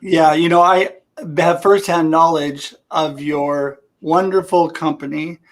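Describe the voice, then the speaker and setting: Brief: enthusiastic male talk-show host, slightly higher voice, on a video call. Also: fairly even intonation, slow pace. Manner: upbeat and enthusiastic. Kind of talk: live-streamed interview.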